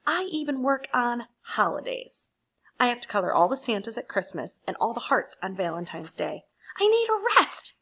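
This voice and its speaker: A woman's voice: on the high side, big pitch swings, moderate pace, fairly bright tone, husky, heavily acted. Woman voicing an exasperated, overworked storybook red crayon. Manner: emphatic, complaining.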